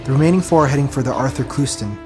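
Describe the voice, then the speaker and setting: A man's voice: mid-range voice, rapid-fire, music in the background, lightly acted. A man playing a reluctant, sardonic human fighter pilot with an everyday delivery in a sci-fi audio drama. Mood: focused.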